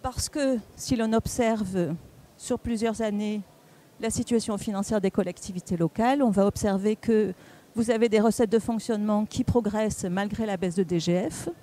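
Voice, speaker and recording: Mellow conference speaker, halting and didactic. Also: mid-range voice, fairly even intonation. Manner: halting, with frequent hesitations, repeats and self-corrections. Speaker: conference speaker presenting on local government finances. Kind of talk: public presentation at a round table.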